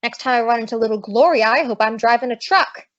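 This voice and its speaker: High-pitched sassy American showgirl, played by a woman, feisty but big-hearted, old radio comedy, dull recording. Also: quick pace, fairly bright tone, close to an everyday voice. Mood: indignant, sassy. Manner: slangy, sassy. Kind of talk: 1950s radio comedy acting.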